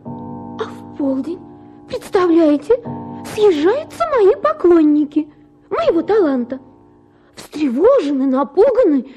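An actress playing a capricious, self-pitying young wife who is coy and sulky by turns. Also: female voice, extremely high pitch, dark tone, full character voice. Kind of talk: radio play acting. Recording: long reverb with faint music.

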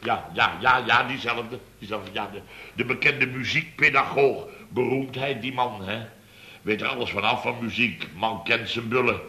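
Blustering boss, very sharp, forceful voice. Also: male voice, medium pitch, normal speed. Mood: pompous, enthusing. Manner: blustering, stammering, repeats himself. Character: blustering, pompous middle-aged male building-firm boss. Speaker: actor in a radio comedy serial. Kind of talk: Dutch radio comedy serial acting, around 1970. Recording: slightly noisy vintage radio recording.

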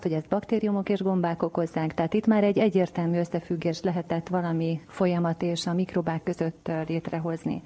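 A woman's voice: lowish voice, warm tone. A woman speaking as an expert guest, explaining in an emphatic, unscripted interview manner.

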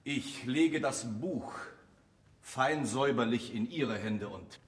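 An actor playing a timid, reverent rector in a German radio play, obsequious and ceremonious. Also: male voice, medium pitch, slow, lightly acted. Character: deferential, fussy male rector, timid and reverent. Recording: roomy sound with faint background noise.

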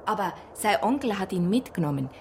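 An actress playing a chatty small-town bakery shopkeeper in a radio drama, folksy and gossipy, with a Bavarian accent. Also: female voice, medium pitch, big pitch swings, brisk. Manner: folksy, chatty, gently disapproving. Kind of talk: naturalistic radio drama dialogue. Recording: clear ambient noise behind dialogue.

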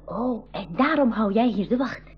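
A woman's voice: on the high side, normal speed, breathy edge. An actress in a radio play voicing a bold, cheeky young girl who questions insistently and with spirit. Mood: curious, probing. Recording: dull 1980s radio play, faint music.

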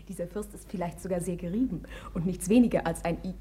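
A woman's voice: fairly low pitch, moderate pace, mellow, husky. A woman playing an outspoken young society daughter in a radio drama, teasing and defiant. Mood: mocking.

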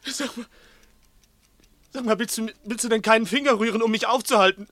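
An actor playing a nervous armed crook in a 1960s radio play, threatening yet frightened. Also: male voice, very high voice, a little fast, a bit bright, faint music behind. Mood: bitter, wounded. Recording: 1960s radio drama recording.

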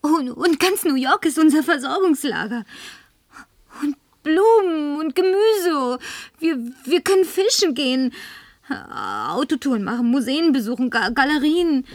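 A slow-talking, pleading young woman. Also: high voice, big pitch swings, no echo, studio quality, full character voice. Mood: anxious pleading. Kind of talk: German radio drama acting.

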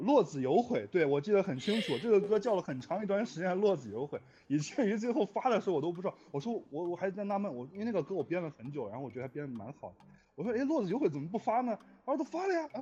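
A man chatting casually as a guest, complaining playfully as he tells stories. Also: sits high. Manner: playfully complaining, animated storyteller. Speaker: guest, music arranger and composer of score. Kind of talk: casual chat. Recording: muffled lo-fi sound, music behind. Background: background music.

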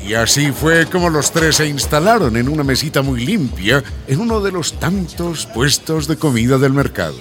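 A man narrating a radio drama from a script, with expressive, descriptive, theatrical phrasing. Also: on the high side, normal speed, hardly any pauses, music in the background, natural voice.

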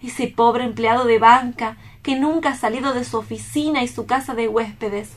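An actress playing a compassionate, tender-hearted young woman reporter in a radio play, expressive and theatrical. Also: fairly high pitch, normal speed, natural voice. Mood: reflective, compassionate.

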